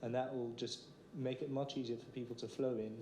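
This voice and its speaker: A man's voice: mid-range voice, fairly even intonation, a little fast, warm tone. A man from Iraq, a candidate, answering a debate question confidently with some fillers. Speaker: election candidate. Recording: echoey hall with some noise.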